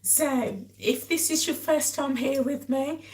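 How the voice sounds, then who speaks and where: A woman with a hoarse, croaky voice from a cold, chatting casually to live viewers as a vlog host. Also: high-pitched, fairly even intonation, takes it slowly, a little mellow. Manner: chatty, addresses viewers directly. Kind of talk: casual live-stream chat.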